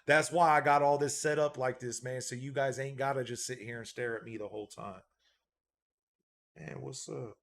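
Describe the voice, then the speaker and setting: A man's voice: mid-range voice, steady tone, moderate pace, creaky, fairly bright tone, a little hiss or hum. A man hosting a casual livestream as a dog breeder, laid-back, reading out and thanking viewer donations, often repeating a catchphrase.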